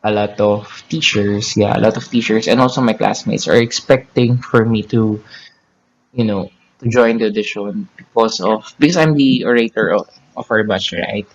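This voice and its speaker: Filipino male teacher, low voice, rambling chatty co-host, muffled lo-fi recording. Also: moderate pace. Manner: rambling with many restarts and fillers. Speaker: podcast co-host. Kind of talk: casual chat.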